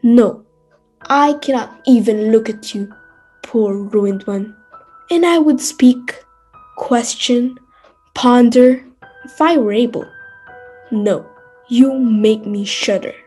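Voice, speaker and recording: A Greek tragedy chorus leader full of horror and pity, acted by a woman. The speech is slow and theatrical, slightly high, over faint music. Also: warm tone, husky. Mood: horrified. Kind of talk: dramatic classical tragedy reading.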